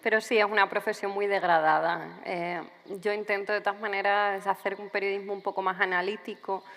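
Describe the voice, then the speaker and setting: A woman's voice: mid-range voice, level tone, fairly quick, a bit bright, big-room sound. A woman writer answering interview questions at a literature festival, thinking aloud with frequent restarts. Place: festival venue.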